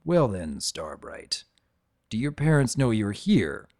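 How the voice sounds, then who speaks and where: A man's voice: lowish voice, big pitch swings, slow pace, a little mellow, no room sound, studio quality. A man playing an easygoing, avuncular adult dragon who tells his own story with wry, conversational asides. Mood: friendly, amused. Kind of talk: first-person dramatic reading of fan fiction.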